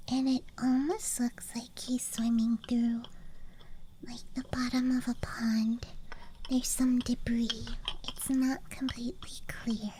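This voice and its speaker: A young woman doing ASMR in a breathy whisper, musing in a hushed, childlike way, close to the microphone. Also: high voice, a little hiss or hum. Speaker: ASMR streamer playing a dice goblin persona. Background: night-time campground ambience.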